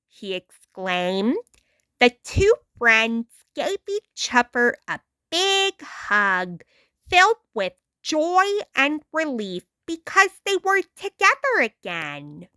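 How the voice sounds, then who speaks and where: A woman reading a story aloud as an amateur storyteller, deliberate and expressive. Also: a little high, no echo. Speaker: amateur storyteller reading their own adaptation. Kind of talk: storybook read-aloud narration.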